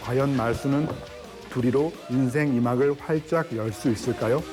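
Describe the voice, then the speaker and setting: A man hosting a film recommendation show, narrating in a smooth, measured presenter style and chatting with his co-host. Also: medium pitch. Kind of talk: scripted narration and studio chat. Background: background music.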